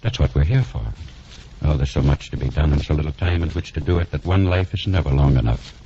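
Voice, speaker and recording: A man's voice: rumbling low, expressive, fast talker, mellow. An actor playing an elderly senior doctor, a grave and fatherly mentor who speaks in solemn, oratorical 1940s radio drama style. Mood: solemn, stirring. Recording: muffled, noisy 1940s radio recording.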